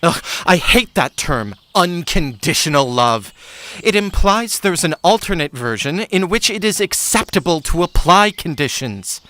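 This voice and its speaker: A man's voice: fairly high pitch, very animated, measured pace, hardly any pauses, sharp tone, dry recording, full character voice. A man playing a wounded, frustrated son who yearns for love, in an audio drama. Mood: hurt, frustrated.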